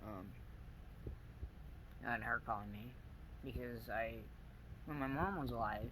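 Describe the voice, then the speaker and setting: A man talks quietly to his viewers in a weak, soft voice, stopping and starting as he gropes for words. His pitch sits in an ordinary middle range, and a steady hum of background noise runs under the recording. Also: poor audio. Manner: halting, searching for words, many pauses and fillers. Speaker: vlogger. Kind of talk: personal video diary.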